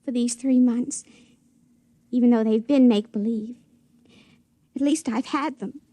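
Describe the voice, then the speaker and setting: An actress playing a tender, trusting young woman in a 1950s radio drama, speaking softly and hesitantly. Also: on the high side, steady tone, measured pace, no room sound. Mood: hurt, subdued. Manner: hesitant, earnest.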